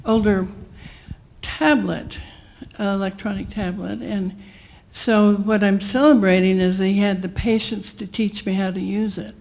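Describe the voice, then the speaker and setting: An older woman in a church congregation sharing news, halting and slow with small laughs. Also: mid-range voice, fairly even intonation, creaky, a little mellow, slight background noise. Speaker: congregation member.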